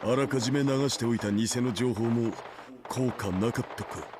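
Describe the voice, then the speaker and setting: A man's voice: mid-range voice, fast talker, background noise, natural voice. An actor voicing a grown man, a practical scientist father, in a visual novel, explaining technical matters matter-of-factly. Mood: serious, focused. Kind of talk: Japanese visual novel voice acting. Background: game music.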